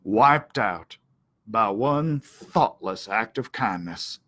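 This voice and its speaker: Man putting on a slow, sly barn cat. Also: fairly low pitch, lively intonation, a bit bright, voice pushed down, exaggerated voice. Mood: mock mournful. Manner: slow, drawling, mock-gloomy. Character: sly, droll male barn cat, grudging rival.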